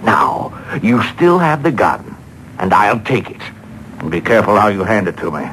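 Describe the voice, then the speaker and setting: Old-time radio villain: armed, gloating, menacing, taunting, with swooping intonation; slightly noisy vintage broadcast tape. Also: male voice, lowish voice, big pitch swings, fairly bright tone. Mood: smug, gloating. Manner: deliberate, taunting. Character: smug, menacing armed male killer, coolly gloating. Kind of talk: 1940s radio drama acting. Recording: home-taped old radio broadcast, faint noise.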